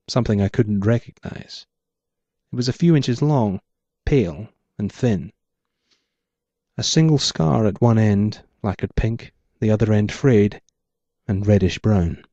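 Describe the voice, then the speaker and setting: A man's voice: lowish voice, dark tone, dry recording. A man, the author, reading his own first-person noir story aloud in a hardboiled manner.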